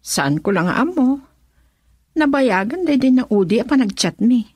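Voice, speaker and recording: An actress playing a chatty young woman in a radio drama, with a breathy voice. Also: fairly high pitch, big pitch swings, moderate pace, darkish tone, dry recording. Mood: worried.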